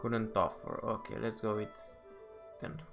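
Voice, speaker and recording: A man's voice: lowish voice, level tone, unhurried, dull audio, over music. A man giving casual commentary as he plays through a video game. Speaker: gameplay host.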